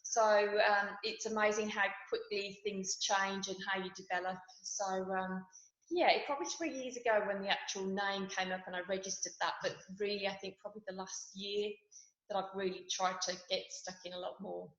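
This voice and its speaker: A woman's voice: medium pitch, fairly even intonation, normal speed, fairly bright tone. A woman in her forties with a West Midlands accent, chatting casually as an interview guest, with many ums and restarts. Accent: West Midlands English. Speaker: guest, nurse and skincare founder. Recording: echoey room, dull lo-fi sound.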